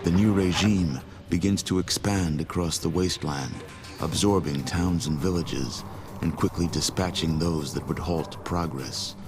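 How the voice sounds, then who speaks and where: A man playing a grave, solemn narrator of a post-apocalyptic game epic, speaking in a measured, portentous way. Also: low voice. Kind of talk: scripted cinematic game narration. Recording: music under the voice.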